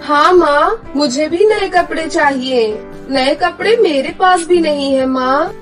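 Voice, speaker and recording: A woman's voice: high voice, slow, distant mic, soft background music. A woman voicing an earnest young schoolboy in a cartoon story, speaking in a pleading way. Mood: eager, pleading. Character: earnest young schoolboy, concerned little brother. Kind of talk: cartoon voice acting.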